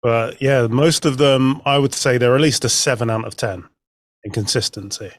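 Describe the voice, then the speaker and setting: A man's voice: mid-range voice, fairly even intonation, normal speed, no echo. A man chatting casually and anecdotally as a podcast guest.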